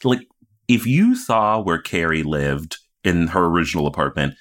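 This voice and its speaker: A man co-hosting a podcast in casual comedic recap chat, playful, crude and quick to swear. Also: sits low, lively intonation, fairly bright tone. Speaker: podcast co-host.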